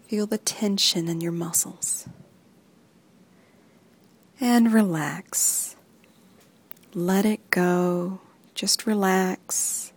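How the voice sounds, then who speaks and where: A woman reading a guided muscle relaxation script in soft, even instructions that often sink into a whisper. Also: medium pitch, husky.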